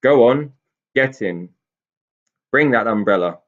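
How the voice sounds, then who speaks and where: A man voicing a cross, bullying goblin from a children's storybook, loud, indignant and commanding. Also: medium pitch, lively intonation, slow pace, muffled recording, pitched above the performer's own voice. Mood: furious, roaring. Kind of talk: children's storybook character reading.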